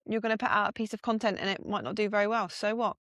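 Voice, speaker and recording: Brief: female podcast co-host, frank and upbeat, mid-pitched voice, dry close mic. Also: steady tone, quick pace, fairly bright tone, no room sound. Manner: frank and upbeat, swears casually. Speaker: podcast co-host, fitness business owner. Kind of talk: casual podcast chat.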